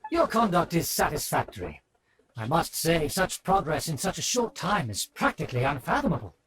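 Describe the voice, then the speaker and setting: A man's voice: fairly high pitch, sing-song, normal speed, fairly bright tone, rough recording. A man voicing a pompous, haughty aristocrat with formal, archaic speech in a video game. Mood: condescending approval. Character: pompous, haughty aristocratic nobleman, easily flattered. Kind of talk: scripted video game character acting.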